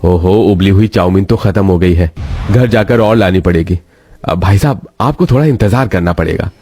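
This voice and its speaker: A man voicing a kindly, soft-hearted middle-aged noodle vendor and father in a story, friendly and fatherly. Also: low-pitched, normal speed, husky, no room sound. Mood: fond.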